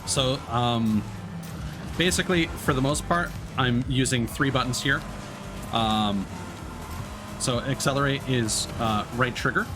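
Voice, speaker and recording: A man giving casual, halting gameplay commentary as a live streamer, explaining as he plays. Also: mid-range voice. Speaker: live streamer playing a racing game. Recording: game music behind the voice.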